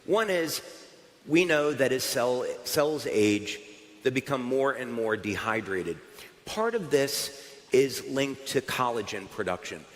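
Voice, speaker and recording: American male presenter, mid-pitched, chatty and upbeat with fillers, live in a big echoing hall. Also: lively intonation, takes it slowly. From United States. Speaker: company presenter at a conference. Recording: reverberant hall sound.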